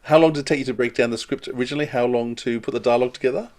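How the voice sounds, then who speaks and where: A man's voice: mid-range voice, fairly even intonation, racing, a little mellow. A man hosting a podcast, putting questions to a guest in a conversational interview style.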